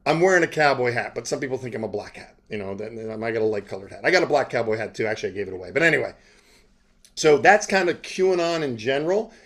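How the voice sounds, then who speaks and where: A man with a medium-pitched voice hosts an interview in a loose, conversational way. He rambles casually and often drifts into side remarks before returning to his point. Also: lively intonation, quick pace, a bit bright. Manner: informal, conversational, digresses into asides. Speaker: interviewer, self-styled journalist host.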